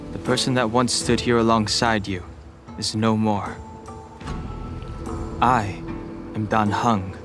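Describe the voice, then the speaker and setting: A man's voice: medium pitch, natural voice. An actor playing a proud, reserved young male exile in a fantasy game, speaking seriously and tersely. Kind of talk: dramatic scripted game dialogue. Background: background music.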